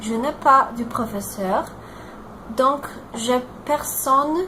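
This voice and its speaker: A Brazilian woman in her twenties with a Brazilian Portuguese accent, introducing herself in a learned language and pausing often to search for words. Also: high voice, noisy background. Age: 20s. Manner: halting, searching for words, many fillers and restarts.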